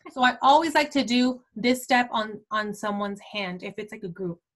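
This is a woman in her twenties walking her sales team through skincare products. Her voice sits a little high, and her talk is casual and rambling, full of "like" and "um". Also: steady tone, unhurried. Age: 20s. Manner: chatty, many fillers like "like" and "um". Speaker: team leader of a beauty sales team.

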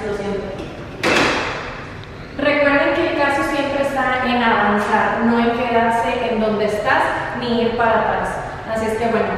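A woman in her twenties giving a casual house tour vlog, bubbly and enthusiastic, using informal slang. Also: high voice. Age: 20s. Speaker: vlogger. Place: empty rooms of a house, indoors. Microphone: camera held by another person, few metres away. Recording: echoey empty rooms, distant mic, faint noise.

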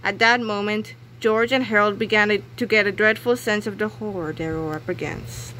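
A woman's voice: medium pitch, steady tone, moderate pace, pushed and bright. A woman reading a children's book aloud expressively, acting out dialogue and sound effects. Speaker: book reader. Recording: clearly noisy home recording.

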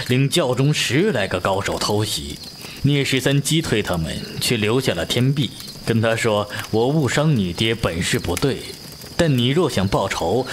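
A man playing an avuncular, teasing middle-aged martial-arts master in a Chinese audio drama, unhurried in his telling. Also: medium pitch, noisy background, natural voice. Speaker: voice actor.

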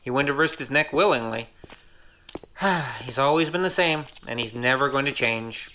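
Someone playing a glib, bright-voiced young rogue. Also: mid-range voice, normal speed, a little hiss or hum, natural voice. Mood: indignant, grudging. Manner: wry, glib. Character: Little Gou, cheeky young male rogue. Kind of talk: audio drama acting.

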